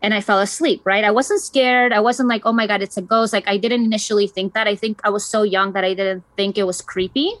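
A woman's voice: mid-range voice, fairly even intonation, brisk, hardly any pauses, fairly bright tone, no echo. A woman in her thirties from Chile, telling a personal story in a casual, chatty way with many fillers. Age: 30s. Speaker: guest.

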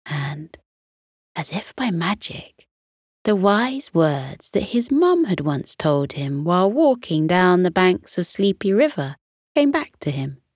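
Slow, expressive woman narrating a bedtime story. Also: fairly low pitch, breathy edge, dry recording, clean studio sound. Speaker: storyteller, podcast host.

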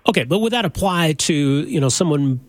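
A man hosting a call-in talk radio show, questioning callers in a quick, conversational way. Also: a little high, lively intonation, no echo.

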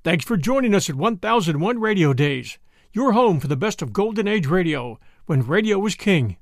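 A man's voice: high-pitched, sing-song, normal speed, dry recording. A male podcast host reading a friendly, upbeat scripted outro for his show.

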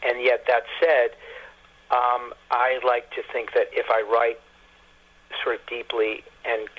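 A middle-aged or older man, a novelist being interviewed, speaking reflectively and haltingly, repeating words as he searches for phrasing. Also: medium pitch, little pitch movement. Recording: muffled, husky-sounding telephone-like radio line.

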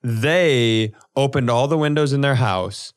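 A man co-hosting a podcast, telling comedic stories casually in an animated, sweary way and acting out dialogue. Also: mid-range voice, a little slow, clear, resonant tone. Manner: animated, profane, acts out quoted dialogue. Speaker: podcast co-host.

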